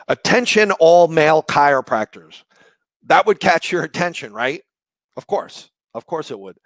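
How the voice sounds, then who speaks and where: A man with a slightly raspy, hoarse voice presenting a live online marketing session, conversational and explanatory with rhetorical questions. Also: a little high, no echo. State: hoarse from shouting the day before.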